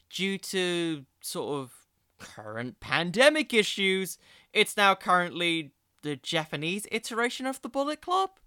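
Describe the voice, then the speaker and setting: A man's voice: sits high, pushed and bright, smooth, no echo, clean studio sound. A man hosting a solo podcast, giving casual, opinionated commentary in an informal, digressive way. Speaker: podcast host.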